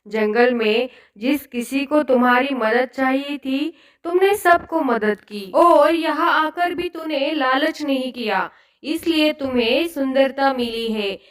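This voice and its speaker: A woman voicing a wise female fairy in a storybook cartoon, speaking in a measured, moralizing way. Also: high voice. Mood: kindly approving. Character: gentle, wise female fairy who tests and judges girls.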